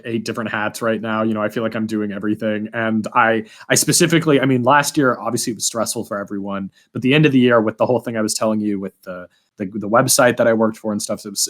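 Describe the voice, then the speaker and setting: A young man hosting a podcast in casual conversation, informal and rambling, often restarting his sentences. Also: fairly low pitch. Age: young adult. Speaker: podcast host. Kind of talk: casual chat.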